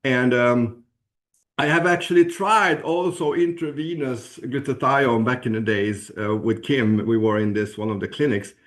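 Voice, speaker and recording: Halting Swedish-accented man presenting. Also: medium pitch. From Sweden. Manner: halting, searching for words, with frequent throat clearing. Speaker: presenter at a business opportunity webinar. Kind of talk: informal promotional presentation.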